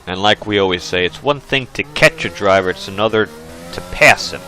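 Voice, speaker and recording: A man commentating a sim racing league race in a casual, chatty way, his voice worn and hoarse. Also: low voice, moderate pace, pushed and bright, low-quality recording. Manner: chatty, many fillers. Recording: game engine sounds and music behind.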